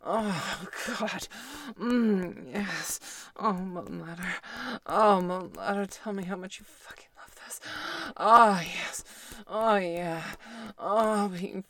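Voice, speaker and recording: Female performer as a commanding, teasing adult heroine interrogator; breathy, unhurried and husky-low. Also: a bit bright. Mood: dominant, heated. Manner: breathy whispering, moaning, slow and sultry. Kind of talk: erotic audio roleplay.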